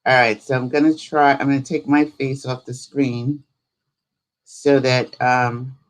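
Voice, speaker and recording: Slow-paced woman chatting on a livestream. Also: very deep voice, little pitch movement. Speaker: host of a live spirituality stream. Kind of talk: casual livestream chat.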